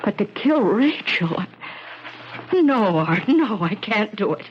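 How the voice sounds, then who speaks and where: Anguished swindler, noisy old radio. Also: female voice, medium pitch, very animated. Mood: anguished, defiant. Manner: anguished, emphatic, halting. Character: guilt-torn female swindler and killer, defiant accomplice. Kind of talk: 1970s radio mystery acting. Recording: noisy, dull 1970s radio broadcast.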